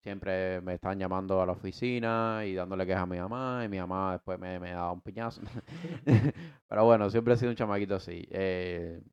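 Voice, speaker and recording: Deep-voiced young man with Cuban Spanish accent. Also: normal speed, clean tone, dull audio. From United States. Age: 20s. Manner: rambling, many restarts and repeated words; slangy and relaxed. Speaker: podcast co-host. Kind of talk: casual conversation about his life.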